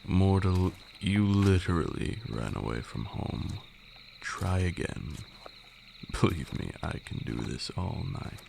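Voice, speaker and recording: A man playing an ancient, haughty vampire lord in an ASMR roleplay, a condescending mentor with formal, archaic speech and a gravelly voice. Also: slow pace, heavily acted. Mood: impatient. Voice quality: very deep, gravelly. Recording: steady noise with night insects. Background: crickets and night insect ambience.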